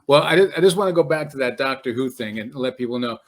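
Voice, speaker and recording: A man's voice: medium pitch, fast talker. A man hosting a podcast, introducing and interviewing in a conversational style. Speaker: podcast host.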